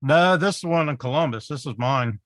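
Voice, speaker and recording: A man's voice: a little high, fairly quick, fairly bright tone, no echo, clean studio sound. Easygoing man in his forties. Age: 40s. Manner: easygoing, agreeable, chuckles often. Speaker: wrestling promoter. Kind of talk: casual podcast chat.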